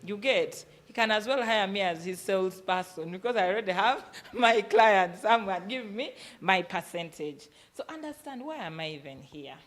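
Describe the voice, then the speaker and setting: Female workshop speaker, Ugandan accent, mid-pitched voice, motivational business delivery built on pointed rhetorical questions. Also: expressive, normal speed, hardly any pauses. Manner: emphatic, asking rhetorical questions and answering them.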